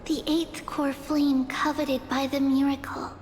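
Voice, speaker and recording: High girlish priestess, solemn. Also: level tone, a little slow, a little mellow, a little hiss or hum. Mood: solemn, encouraging. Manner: ceremonial, formal. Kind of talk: video game voice acting. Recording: long reverb, music behind.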